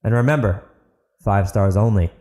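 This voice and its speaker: A man's voice: on the low side, normal speed, warm tone. A man narrating fiction and hosting his own podcast, in the style of a storyteller addressing listeners. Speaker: author, podcast host and narrator. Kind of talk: dramatic audiobook narration and podcast outro.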